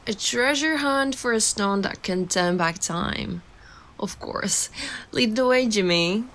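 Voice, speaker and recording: An actress as a playful, curious young woman in a dreamy romantic audio drama. She teases in an unhurried way, with a creaky edge to her voice. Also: medium pitch, fairly bright tone. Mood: playful, teasing. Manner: teasing, playful.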